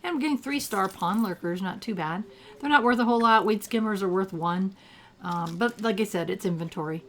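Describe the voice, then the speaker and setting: Older woman with a gravelly voice. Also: mid-range voice, brisk. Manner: chatty, thinks aloud, cheers at the game. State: rough throat from allergies. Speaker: gameplay commentator. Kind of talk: casual gameplay commentary.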